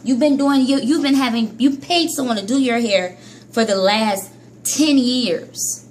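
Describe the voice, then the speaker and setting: A woman vlogging about hair care in a casual, chatty monologue, scolding herself and jumping between high and low pitch. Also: unhurried, a little hiss or hum. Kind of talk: casual vlog monologue to viewers.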